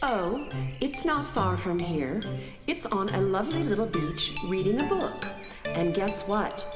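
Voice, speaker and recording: A woman playing a gentle, literal-minded starship android who explains things in measured phrases in a children's radio play. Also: mid-range voice, sing-song. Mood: pleasant, naive. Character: gentle, matter-of-fact female planet-powering starship android. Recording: echoey hall reverb, music behind.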